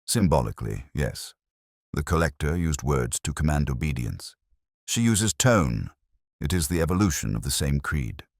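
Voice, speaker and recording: A man acts out a brilliant gentleman detective for a mystery audiobook. The voice is extremely low and grave, with deliberate, incisive phrasing and theatrical swings of intonation. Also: sing-song, no room sound, studio quality, exaggerated voice. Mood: intense, analytical.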